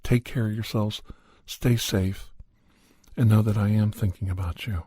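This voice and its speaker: Low husky guy, soft asmr podcast storyteller, slow n gentle, really mellow. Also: fairly even intonation, no room sound, clean studio sound.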